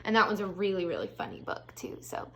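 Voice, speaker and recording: A woman, an elementary school teacher, reading a storybook aloud to young children with expressive delivery and casual, chatty asides. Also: medium pitch, quick pace, a little hiss or hum.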